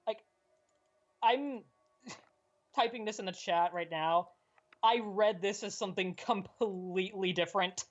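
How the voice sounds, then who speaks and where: A woman's voice: medium pitch, measured pace, pauses often, highs cut off. A woman co-hosting a livestream in casual chat. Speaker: livestream co-host.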